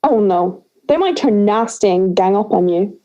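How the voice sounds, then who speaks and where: Mellow, dry schoolgirl friend, acted by a young woman. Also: mid-range voice, expressive, normal speed, muffled recording, lightly acted. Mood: wary, wry. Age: young adult. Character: wry schoolgirl friend, sheepish but bossy. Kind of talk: audio drama dialogue.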